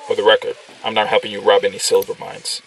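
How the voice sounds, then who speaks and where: Cynical game soldier, low flat deadpan, talks quick, bit distant. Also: male voice, gravelly, a little hiss or hum. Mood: dry. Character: dry, cynical male soldier squadmate. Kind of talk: video game acting. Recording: slightly distant from mic.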